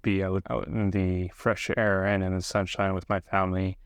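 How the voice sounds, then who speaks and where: A middle-aged man talking reflectively and casually to viewers as a video host, unhurried and often pausing mid-sentence. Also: low voice, little pitch movement, clear, resonant tone, no echo, clean studio sound. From grew up partly in South Korea, living in Canada.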